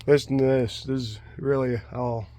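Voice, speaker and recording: A man's voice: medium pitch, fairly even intonation, normal speed, heavy background noise. A man giving a casual, unscripted hands-on DIY walkthrough, thinking aloud as he goes. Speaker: DIY vlogger. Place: in and around a pickup truck.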